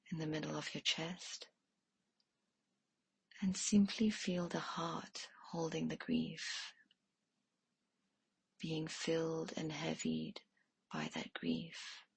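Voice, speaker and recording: Woman, meditation guide, soft hushed delivery, unhurried pace, close dry sound. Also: deep voice, steady tone, gravelly, slightly rough, dry recording.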